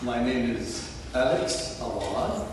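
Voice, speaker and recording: Very echoey noisy hall, older man, Palestinian accent, slow deliberate delivery, public statement, short phrases with pauses. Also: mid-range voice, animated, recorded from a distance. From Jerusalem. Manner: measured, deliberate, pausing between short phrases. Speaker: audience member addressing a senator. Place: large echoing hall at a public town hall.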